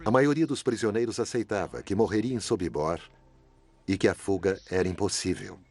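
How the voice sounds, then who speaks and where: A male documentary narrator speaks in a low voice. His scripted delivery is measured and grave. Each sentence is set down evenly and deliberately. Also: a little mellow, breathy edge, faint music behind.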